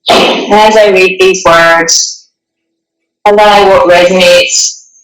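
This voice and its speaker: A woman guiding a meditation in a gentle, soothing, unhurried way, with long pauses between phrases. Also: lowish voice. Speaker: meditation guide. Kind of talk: guided meditation, partly reading a passage. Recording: echoey room, distant microphone.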